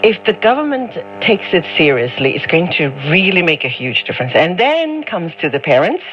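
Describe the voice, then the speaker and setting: Animated woman on noisy shortwave radio. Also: on the low side. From China. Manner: halting, repeats words while searching for phrasing. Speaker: radio talk show panelist. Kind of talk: unscripted panel discussion. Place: radio studio, heard over shortwave. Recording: noisy muffled shortwave radio broadcast with hum.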